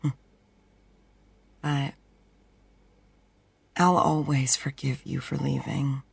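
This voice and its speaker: A young woman acting in an audio drama as a protective twin sister, speaking earnestly and softly. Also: a bass voice, little pitch movement, takes it slowly, gravelly, husky, no room sound, full character voice. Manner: earnest, soft-spoken, emotional. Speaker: twin sister of a vampire fighter.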